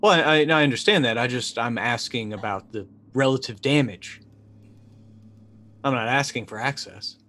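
A man's voice: mid-range voice, moderate pace, pushed and bright, lightly acted. A man playing a weary, sarcastic crash survivor in a tabletop game, talking with mock politeness. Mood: probing, wry.

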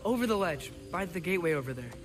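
Curious, higher-pitched teenage boy. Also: very animated, fast. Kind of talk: naturalistic video game acting.

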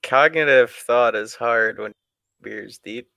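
A man streams casual stories for his friends and chat in a very clear, smooth voice. He takes his time and swears freely in a crude way. The sound is dry and close to the microphone. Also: mid-range voice, slow pace, bright, forceful tone, no echo.

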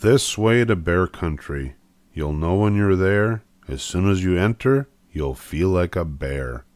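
An older man narrating a children's storybook, reading aloud in a measured, expressive way. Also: low-pitched, dry recording, soft background music.

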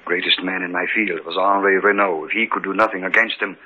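A resigned, grave doctor played by a man declaims in a deep, deliberate voice. The old radio horror recording is muffled, with faint hiss. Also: steady tone, close to an everyday voice. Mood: fatalistic. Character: grave, resigned male doctor, expert on the supernatural. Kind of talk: 1950s radio horror acting.

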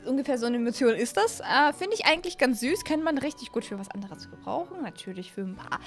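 Young woman, high voice, casual gaming commentary, excitable, close mic, faint music behind. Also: quick pace. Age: young adult. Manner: animated, excitable, exclaiming. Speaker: gaming video host. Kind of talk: casual let's-play commentary. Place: small indoor streaming room. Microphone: close desk microphone on an arm.

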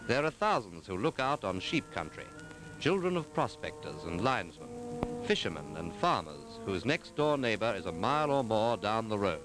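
A man reading scripted narration for a 1940s documentary film in a measured, formal, articulate manner. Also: lowish voice, big pitch swings, rough recording. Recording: 1940s film soundtrack with music.

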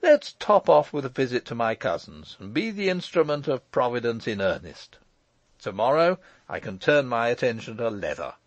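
A man voicing a brash, teasing, self-assured lawyer in an audiobook, with a forceful, jaunty, mock-pompous delivery. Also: mid-range voice, sing-song, moderate pace, no room sound, muffled recording. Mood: gleeful. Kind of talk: audiobook character voice.

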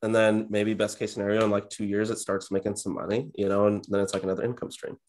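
Young male guest, 20s, deep-leaning voice, unexcitable and introverted, relaxed conversational interview delivery from a home office. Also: quick pace. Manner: low-key, introverted, self-described monotone. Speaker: interview guest, real estate agent and YouTuber. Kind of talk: casual interview chat. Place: indoor home office, on a video call.

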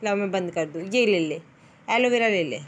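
A woman's voice: medium pitch, moderate pace, a little hiss or hum. A young woman talking playfully to her small child in a coaxing, sing-song way. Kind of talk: playful child-directed chat. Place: indoors in a bedroom at home.

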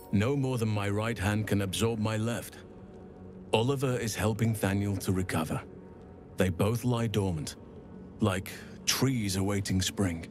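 An actor playing a solemn, gentle middle-aged elven archdruid in a fantasy game, speaking in a measured, earnest, formal way. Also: male voice, on the low side, close to an everyday voice. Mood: hopeful, tender. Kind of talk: scripted fantasy game dialogue.

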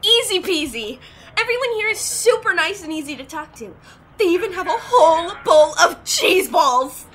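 A hyperactive, colorful young woman from an anime fan audio drama. Her voice is piercingly high and bright, swooping widely as she gushes and squeals. Faint noise sits behind her. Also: sing-song, moderate pace, heavily acted. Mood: cheery. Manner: gushing, giggly, squealing exclamations.

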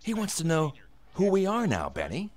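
An actor playing a menacing robber in a radio drama, taunting and sarcastic with a false friendliness. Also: male voice, high voice, huge pitch swings, normal speed, darkish tone. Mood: mocking menace. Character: menacing, mockingly friendly male robber posing as FBI agent.

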